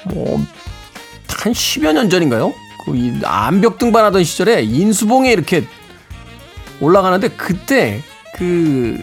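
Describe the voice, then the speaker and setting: A middle-aged man hosting a music radio show, mixing song introductions with casual anecdotes in a wry, self-teasing, conversational manner. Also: high voice. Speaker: radio host and DJ.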